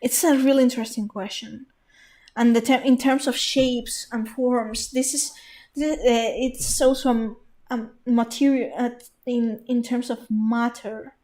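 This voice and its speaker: A woman's voice: on the high side, fairly even intonation, a little slow, mellow. A woman streaming a video game, thinking aloud in a rambling, casual way with many restarts. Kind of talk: casual live gameplay commentary. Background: game music.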